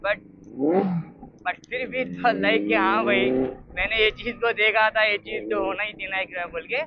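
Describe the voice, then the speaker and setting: A man's voice: high-pitched, expressive, normal speed, gravelly. An Indian man chatting casually and off the cuff as a motorcycle vlogger, rambling and repeating himself as he mixes two languages. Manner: rambling, repetitive, switching between Hindi and English. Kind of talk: casual unscripted chat while riding. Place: outdoors on a motorcycle on the road. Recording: muffled, noisy motorbike ride with engine and traffic sounds. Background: vehicle and road noise.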